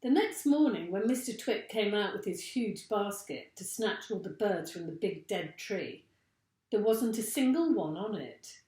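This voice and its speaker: A woman reading a children's story aloud like a teacher, with expressive, deliberate narration. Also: mid-range voice, roomy sound.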